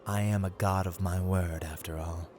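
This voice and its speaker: A man's voice: deep voice, steady tone, normal speed, over music, lightly acted. A man playing a haughty, playful god of luck in an ASMR roleplay, speaking in a theatrical, teasing, formal way that drifts into whispers. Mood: gracious, solemn. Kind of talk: ASMR roleplay, scripted dramatic monologue.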